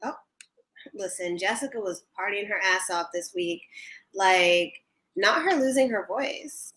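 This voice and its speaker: A bright, forceful young woman's voice in an echoey room. She talks casually and conversationally to livestream viewers as a recap show co-host. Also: mid-range voice, measured pace.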